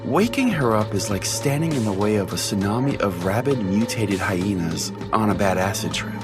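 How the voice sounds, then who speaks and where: A man's voice: lowish voice, animated, normal speed. A man playing an eccentric pastor who hosts a late-night call-in radio show in a theatrical, mock-solemn way. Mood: rambling, absurd. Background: music.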